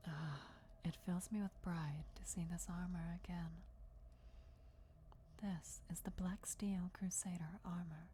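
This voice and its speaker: A woman voicing an ancient, loyal vampire countess in a formal, deferential, measured whisper for fantasy ASMR roleplay. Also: on the low side, fairly even intonation, warm tone, a little hiss or hum. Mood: proud, deferential. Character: ancient female vampire countess, devoted herald's speaker, formal and reverent.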